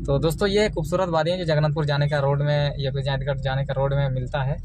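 A young man talks chattily to his viewers while driving through the countryside. His voice sits a little high, and he speaks in loose, rambling sentences. The phone recording carries heavy engine and road noise throughout. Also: little pitch movement, fast. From Jharkhand, India. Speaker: travel vlogger. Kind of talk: casual driving vlog. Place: inside a car driving on country roads. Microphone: handheld phone camera.

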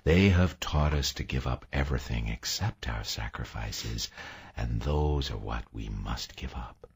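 A man voicing a gentle, grave woman in an audiobook, speaking softly and soothingly. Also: very deep voice, big pitch swings, a little slow, slightly rough, pitched below the performer's own voice, full character voice. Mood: tender. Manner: soft, cooing, hushed. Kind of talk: audiobook character acting.

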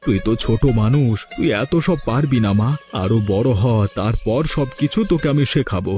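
পুরুষের অভিনয়ে দায়িত্বশীল গ্রামের কুমোর, মাঝারি গলা. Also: male voice, mid-range voice, lively intonation, moderate pace, a little mellow, natural voice. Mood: caring, coaxing. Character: responsible, caring village potter and father. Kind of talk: Bengali cartoon acting. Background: background music.

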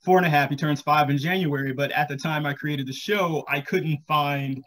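A man's voice: fairly high pitch, steady tone, moderate pace, fairly bright tone. An American man in his thirties from North Carolina, talking casually as a guest on a video-call panel. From Charlotte, North Carolina, US. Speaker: podcast creator and guest panelist. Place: home room, indoors, video call.

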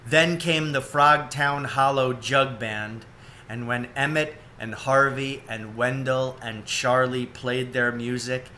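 A man's voice: medium pitch, sharp tone, a little hiss or hum. A man in his forties reading a children's story aloud as narrator. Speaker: storybook reader. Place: cluttered indoor room at home, seated.

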